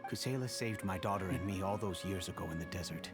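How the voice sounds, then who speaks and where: A man playing an earnest, formal, middle-aged wealthy father in video game dialogue, speaking in a measured, reflective way. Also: sits low, over music, natural voice. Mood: solemn, reflective.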